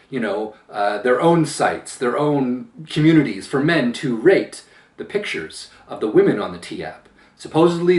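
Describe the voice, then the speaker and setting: A man with a powerful, mid-pitched voice speaks his mind in a measured monologue, slowing down to lean on key words. His voice grows loud and forceful as he presses a point. It rings in a roomy space, picked up from some distance away from the mic. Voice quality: powerful voice that gets loud when upset. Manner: emphatic, slow deliberate phrasing with pauses. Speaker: channel host. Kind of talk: opinion commentary monologue.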